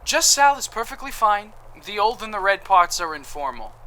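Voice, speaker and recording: A man's voice: high-pitched, big pitch swings, normal speed, pushed and bright, slight background noise, heavily acted. An actor playing a cheerful, boastful male apothecary suspect in an audio drama, with a chipper, self-satisfied, theatrical manner. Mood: smug.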